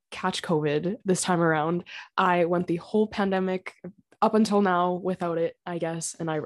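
A young woman hosting her own podcast, talking casually and earnestly, her throat slightly raspy from being sick. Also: fairly low pitch, a little mellow. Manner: chatty and earnest, with fillers and small laughs. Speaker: podcast host. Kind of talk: casual solo podcast talk. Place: home.

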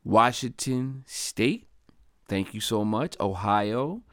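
A man from Delaware hosting his own podcast, talking in a casual, upbeat, chatty way and giving slangy shout-outs to listeners. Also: lowish voice, expressive, slow, dry recording, studio quality. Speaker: podcast host. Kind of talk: casual solo podcast monologue.

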